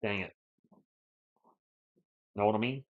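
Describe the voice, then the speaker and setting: Hoarse man calling a game live. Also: fairly low pitch, slow. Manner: chatty, reads and answers viewer chat between plays. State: sore throat, losing his voice. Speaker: live play-by-play streamer. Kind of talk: casual live play-by-play with chat. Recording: dull lo-fi stream audio.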